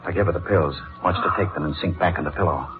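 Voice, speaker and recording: Actor as deep, distinguished judge on tinny old radio. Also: male voice, fast, lightly acted. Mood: cold, calculating. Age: middle-aged. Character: distinguished middle-aged judge, lovestruck, scheming murderer. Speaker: actor and narrator. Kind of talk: 1940s radio drama acting. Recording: tinny old radio broadcast, faint music.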